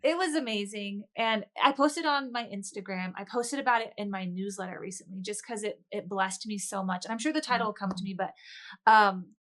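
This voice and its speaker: A woman's voice: medium pitch, fairly bright tone. A woman speaking as a guest author in a conversational interview, chatting and telling personal stories in an earnest way.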